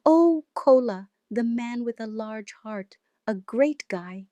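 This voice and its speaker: A woman's voice: on the high side, animated, slow, dark tone, no room sound, dull audio, pitched above the performer's own voice. A woman voicing a friendly, effusive Nigerian neighbour woman, beaming and chatty, in an audio story. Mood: beaming, admiring.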